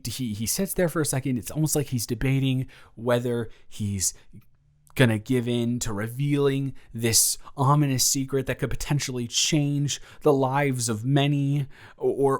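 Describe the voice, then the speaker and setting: A man's voice: medium pitch, steady tone, normal speed, a little mellow, natural voice. A man playing a flustered, self-deprecating young man who rambles and stammers in an improvised audio roleplay monologue. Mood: flustered, joking. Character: flustered, rambling young man nervously confessing to his roommate.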